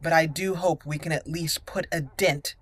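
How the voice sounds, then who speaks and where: A woman's voice: deep voice, steady tone, moderate pace, husky. A woman hosting a game playthrough, giving commentary and speaking as her roleplayed character. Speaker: video game roleplay host. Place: voice-over with game music behind.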